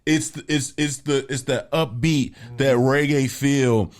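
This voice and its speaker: A man's voice: medium pitch, fairly even intonation, unhurried. A man co-hosting a casual album review podcast, playful and hype, repeating words for emphasis. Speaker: podcast co-host.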